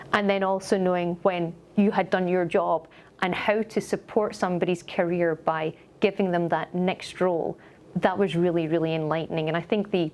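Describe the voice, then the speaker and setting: A woman's voice: lowish voice, a little mellow. A middle-aged woman with a Scottish accent, an upbeat and articulate company executive answering questions in a corporate interview.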